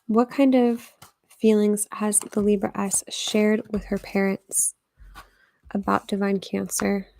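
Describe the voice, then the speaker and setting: A woman's voice: mid-range voice, flat delivery, slow, gravelly, darkish tone. A woman doing a live tarot reading in a chatty, think-aloud way, with many fillers and some whispered asides. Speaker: tarot reader.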